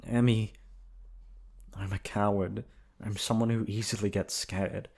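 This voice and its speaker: A man voicing a gentle, earnest, timid teenage prince in a bedtime story, speaking softly and hesitantly. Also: lowish voice, flat delivery, measured pace, vocal fry, husky, exaggerated voice. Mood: earnest, tender.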